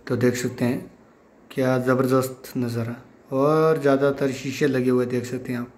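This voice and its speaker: A man's voice: mid-range voice, level tone, normal speed, a little mellow. A man from the hills of northern India, narrating a village vlog casually and pointing things out to viewers as friends. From Himachal Pradesh hills, northern India. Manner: repetitive show-and-tell, addressing viewers as friends. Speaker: village vlogger.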